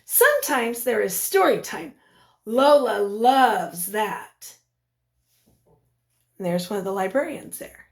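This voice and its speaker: A woman teacher reading a picture book aloud to young children, expressively, pausing to put questions to them. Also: medium pitch, sing-song.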